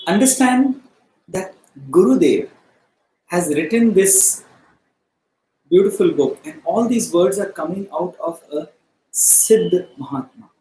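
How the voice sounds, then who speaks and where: A man teaching philosophy, explaining deliberately in short, emphatic phrases with pauses. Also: high-pitched, expressive, mellow. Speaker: spiritual study teacher. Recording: echoey, roomy sound.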